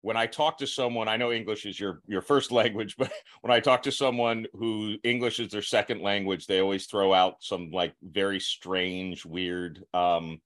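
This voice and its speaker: A man's voice: lowish voice, steady tone, normal speed, fairly bright tone. A man from Florida hosting a podcast, chatting casually in a joking, self-deprecating way and laughing often. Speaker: podcast host. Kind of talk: casual interview chat.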